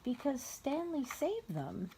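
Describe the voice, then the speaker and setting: A woman reading a children's story aloud, pausing to explain things simply and patiently to a young child. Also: sits high, huge pitch swings, dark tone, a little hiss or hum, low-quality recording. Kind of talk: read-aloud story narration with casual asides to a child.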